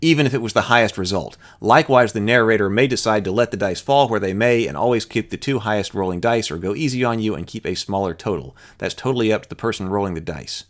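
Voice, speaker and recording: Male tutorial host, lowish voice, reads rules aloud and thinks out loud in an explanatory way. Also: fast talker, hardly any pauses. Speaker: host reading and explaining a game rulebook. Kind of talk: read-aloud rules tutorial with off-the-cuff commentary.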